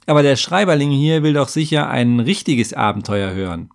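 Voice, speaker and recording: A man's voice: fairly high pitch, very animated, normal speed, pitched above the performer's own voice. A man voicing a small, grumpy, cynical half-gnome adventurer with a growling, sarcastic manner in a fantasy story.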